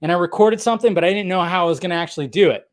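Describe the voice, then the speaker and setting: A man's voice: high voice, racing. A man hosting a live fan commentary show in an unscripted rant, exasperated and blunt, swearing heavily and repeating phrases for emphasis.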